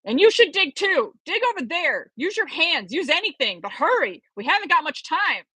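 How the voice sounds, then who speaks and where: A woman voicing an excited, frantic older male professor with an urgent, commanding manner in a storybook reading. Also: extremely high pitch, normal speed, dry recording, pitched above the performer's own voice, heavily acted, low-quality recording.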